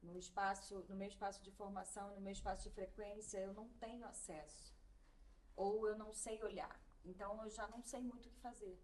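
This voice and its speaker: A woman's voice: mid-range voice, steady tone, fairly quick, darkish tone. A woman in the audience speaking hesitantly and reflectively. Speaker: audience member. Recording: echoey room, distant mic, faint noise.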